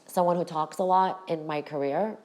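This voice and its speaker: A middle-aged woman with a raspy voice, hosting her own podcast and talking frankly to her viewers about her past. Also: low voice, steady tone, normal speed, darkish tone. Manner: candid, direct address to viewers. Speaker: podcast host. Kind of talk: personal storytelling to camera.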